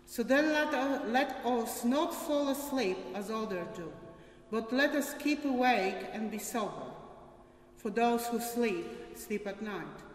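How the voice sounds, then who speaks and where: A woman's voice: on the high side, expressive, takes it slowly. An older woman giving a formal scripture reading at a church service. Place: large stone church, at a lectern. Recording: echoey church, distant mic.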